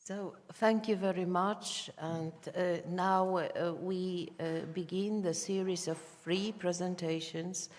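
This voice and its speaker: A woman moderator introduces the speakers, pausing often on "uh" as she searches for words. Her voice sits slightly low and trails into a long reverberant hall. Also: fairly even intonation, darkish tone. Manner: hesitant, many filled pauses. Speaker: conference moderator. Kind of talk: formal introduction.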